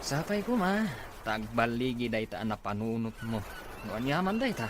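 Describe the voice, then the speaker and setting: A man's voice: medium pitch, big pitch swings, moderate pace, background noise, lightly acted. An actor playing an easygoing, questioning male friend in a radio drama, speaking in a familiar manner. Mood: questioning, concerned. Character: questioning, easygoing male buddy of a rich man.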